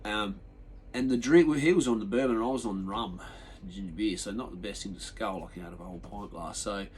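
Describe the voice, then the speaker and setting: An Australian man hosting his own podcast solo, sounding nasal and chesty from a sinus infection, rambling through a relaxed story with lots of ums and restarts. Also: lowish voice, fairly quick, slightly rough, low-quality recording. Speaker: podcast host. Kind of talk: casual solo podcast chat.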